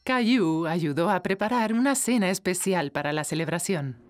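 A woman's voice: on the low side, lively intonation, moderate pace, soft background music, natural voice. A woman performing scripted storybook narration for a children's cartoon. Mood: storytelling.

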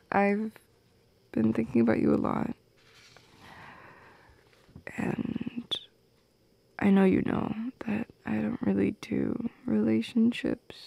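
Woman as shy teasing goth girl for ASMR roleplay. Breathy, whispery, creaky, unhurried. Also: medium pitch, dry recording, heavily acted. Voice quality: soft and breathy, slipping into whispers. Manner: hesitant, teasing, halting with small laughs. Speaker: audio roleplay performer. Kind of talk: intimate ASMR roleplay acting. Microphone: close microphone in a dry space.

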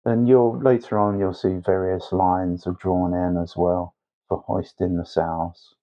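A man narrating a painting tutorial step by step as a tutor, unhurried and with hesitant pauses. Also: sits low, little pitch movement, mellow, highs cut off. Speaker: watercolour tutor.

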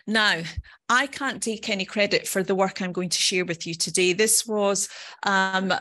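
A woman's voice: medium pitch, normal speed, sharp tone. A middle-aged woman from Scotland with a Scottish accent, giving a personal conference talk in a conversational, earnest manner. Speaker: nursing professor, conference speaker.

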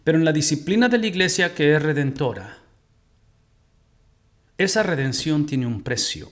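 A man's voice: fairly high pitch, moderate pace. Mexican man teaching a Bible study. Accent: Mexican Spanish. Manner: animated, gestures while teaching, asks the audience rhetorical questions. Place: home study room.

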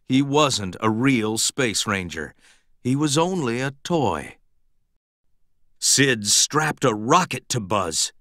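Animated male children's storybook narrator. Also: medium pitch, no room sound, studio quality. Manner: dramatic, emphatic storytelling for young children. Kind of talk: scripted children's storybook read-aloud, expressive.

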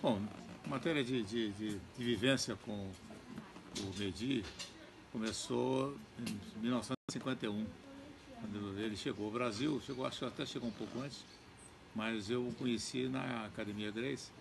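An older man reminiscing haltingly about an old friend, seated outdoors. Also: medium pitch, creaky, background noise. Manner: halting, repeating words as he recalls. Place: outdoor garden.

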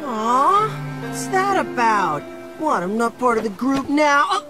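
Eager little boy, high voice, pleading younger brother, music underneath, anime cartoon. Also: sing-song, slow, full character voice. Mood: whiny pleading. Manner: whiny, pleading, insistent. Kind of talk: anime dub acting.

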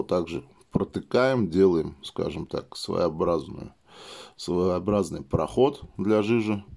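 A man with a slightly hoarse voice from a cold, chatting casually while demonstrating a product at a table indoors and leaning on filler phrases. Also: low voice, a little mellow. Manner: chatty, keeps saying "let's say" as filler. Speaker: product reviewer. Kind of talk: casual how-to demo.

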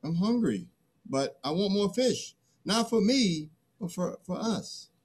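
A man's voice: high voice, lively intonation, takes it slowly, husky, exaggerated voice. A middle-aged man playing a boastful, stubborn early human in a dramatic play reading. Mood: awkward, eager. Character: first Man, boastful, greedy, stubborn, awkward.

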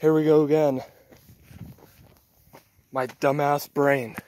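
A man's voice: fairly high pitch, little pitch movement, slow pace, a little mellow. Blunt American man vlogging outdoors. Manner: blunt, foul-mouthed, self-deprecating. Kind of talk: casual outdoor vlog chat. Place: outdoors on a lakeshore. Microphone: handheld camera held by speaker. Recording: wind and outdoor noise, clicks.

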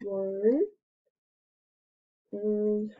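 A woman in her thirties with an expressive, singsong manner, talking to young children as an educator. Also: medium pitch, drawn-out words. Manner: expressive, singsong, encouraging young listeners to join in. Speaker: children's educator and story reader. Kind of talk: animated read-aloud and casual craft demonstration for young children. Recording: slightly dull webcam recording.

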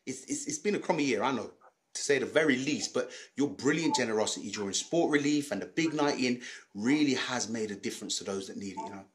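A man's voice: mid-range voice, animated, fast, natural voice. A man playing a chummy, streetwise pantomime servant, chatty and slangy. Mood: confiding.

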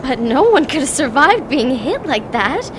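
A frightened, soft-hearted young woman in a spooky radio play, acted by a woman. Her high voice swings widely in an anxious, pleading way. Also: big pitch swings, unhurried. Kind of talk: radio drama acting. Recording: noisy night-time drama soundscape.

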